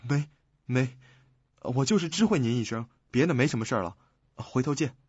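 A man's voice: mid-range voice, animated, normal speed, slightly rough, no echo, lo-fi sound. A man playing an earnest, uneasy young investigator in a suspense radio drama.

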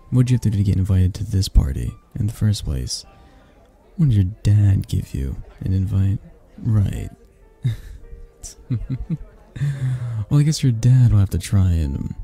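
A man playing a possessive mafia boss in a romantic ASMR audio roleplay, speaking haltingly with sighs and pointed pauses in a low, breathy, husky voice. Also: mellow, exaggerated voice. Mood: mocking contempt. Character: possessive, menacing young mafia boss, softening into awkward tenderness.

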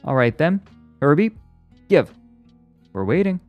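A man's voice: medium pitch, very animated, moderate pace, lo-fi sound, faint music behind, pitched above the performer's own voice, lightly acted. A man voicing a cold, caustic woman psychologist in an audiobook story, commanding and insistent. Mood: triumphant, commanding.

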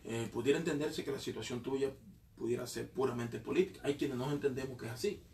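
A man's voice: mid-range voice, quick pace, creaky, a little mellow, echoey room, distant mic, slight background noise. A man hosting a radio talk show, teasing his guest and then lecturing in long questions. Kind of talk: radio studio interview. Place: radio studio.

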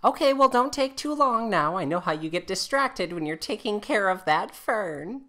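A man's voice: sits high, expressive, moderate pace, sharp tone, voice pushed up. A man playing a jealous, put-upon housewife in a comedy script, with a pointed, nagging manner. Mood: pointed, suspicious.

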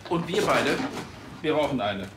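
Bossy, excitable police boss. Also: male voice, mid-range voice, unhurried. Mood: bossy, agitated. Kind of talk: TV crime comedy acting. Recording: clear background noise with clicks.

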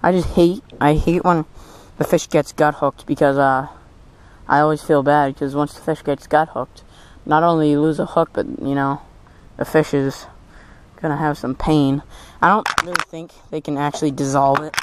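A young man filming himself fishing from a small boat on a lake, talking casually and exclaiming excitedly over his catch through a head-mounted camera. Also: fairly high pitch, moderate pace, clear, resonant tone, rough recording. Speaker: fishing vlogger. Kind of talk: casual commentary while fishing. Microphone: head-mounted action camera.

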